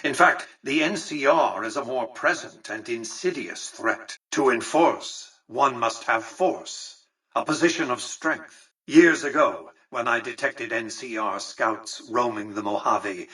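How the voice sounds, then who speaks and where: An actor playing an imperious, calculating old tycoon in a video game, speaking formally and precisely with pompous condescension. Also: male voice, medium pitch, unhurried, sharp tone, slightly rough, far from the mic, poor audio. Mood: calculating, proud. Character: imperious, calculating, centuries-old male tycoon ruling a futuristic Vegas. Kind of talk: scripted video game character dialogue.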